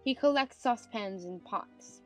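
A woman's voice: a little high, lively intonation, unhurried, soft background music. A woman giving scripted narration of stage directions for a dramatized audiobook.

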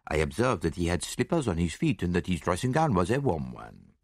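A man voicing a shrewd, courteous detective in a mystery audiobook, theatrical and gently mocking. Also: low-pitched, big pitch swings, a little fast, no room sound, studio quality. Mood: suave mockery. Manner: courteous, mildly reproving, theatrical.

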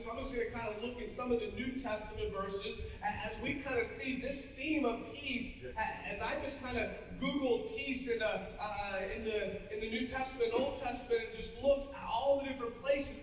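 A man's voice: very high voice, steady tone, normal speed, few pauses, slight background noise. A middle-aged man preaching a sermon to his congregation, speaking earnestly and addressing them directly, with frequent restarts. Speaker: pastor. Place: large church auditorium with a seated congregation. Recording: echoey, muffled hall audio from a distance.

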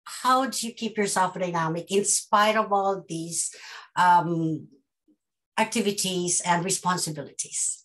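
A woman's voice: on the low side, unhurried, fairly bright tone. A middle-aged woman hosting a casual interview on a video call from home, playful and chatty, talking around her points. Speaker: host. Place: home room.

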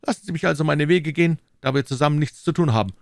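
A man's voice: on the high side, quick pace, gravelly, no room sound, clean studio sound, pitched above the performer's own voice. A man voicing a haughty, courteous and commanding disguised nobleman in an audiobook. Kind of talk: audiobook character acting.

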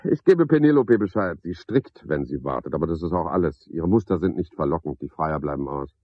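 An actor playing a garrulous, pompous, sardonic porter at a boarding house, with a husky voice, in a radio play monologue. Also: male voice, rumbling low, expressive, brisk, no room sound, dull audio, heavily acted. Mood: officious, wry. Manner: rambling, pompous monologue with mocking asides. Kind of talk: 1970s German radio play acting.

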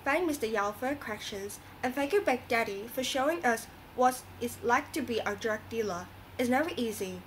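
A woman's voice: on the high side, animated, normal speed, few pauses, a little hiss or hum, natural voice. A woman playing an earnest, moralizing talk show host in a scripted radio drama, reading didactically.

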